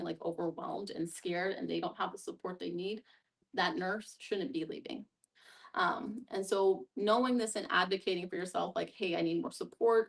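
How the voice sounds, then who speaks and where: A woman's voice: mid-range voice, vocal fry. A woman speaking as a discussion facilitator, giving thoughtful, explanatory answers in a conversational way. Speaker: guest facilitator, hospice social worker. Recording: distant mic.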